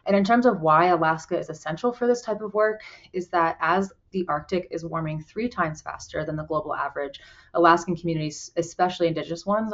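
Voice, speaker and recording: A woman from Colorado, a program director, giving an earnest conference presentation with frequent "um"s over a video call. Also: deep voice. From Colorado, USA. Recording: muffled video call audio.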